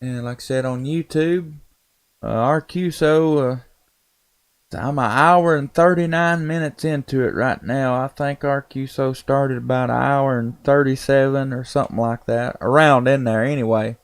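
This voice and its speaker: A man's voice: medium pitch, fairly even intonation, normal speed, smooth. A man chatting casually and in a folksy, laid-back way as an amateur radio operator on a live stream. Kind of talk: casual ham radio chat.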